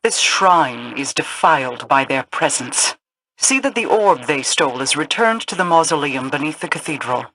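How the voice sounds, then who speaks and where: An actress playing a regal, solemn queen in a video game, speaking in formal, grave, measured phrases. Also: low voice, lively intonation, noisy background. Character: regal, solemn otherworldly queen, commanding and cryptic.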